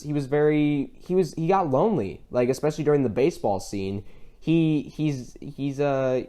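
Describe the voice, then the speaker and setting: A man hosting a casual review discussion, talking in a rambling, halting way that circles back mid-sentence. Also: mid-range voice, warm tone. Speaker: podcast host.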